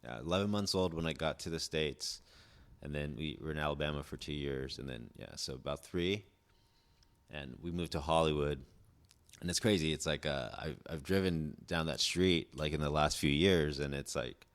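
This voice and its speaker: Very deep-voiced laid-back man in his forties. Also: normal speed, smooth. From born in Indonesia, raised in Southern California. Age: 40s. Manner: laid-back, rambling, heavy on "like" and "dude". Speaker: podcast guest, chef. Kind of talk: casual chat.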